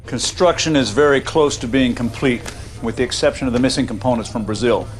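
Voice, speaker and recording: A mid-pitched male technician played by an actor in a war game cutscene. He is formal, precise and matter-of-fact, as if reporting on technical progress. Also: a little fast, slightly rough, lightly acted. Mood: businesslike. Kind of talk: video game cutscene acting, technical briefing.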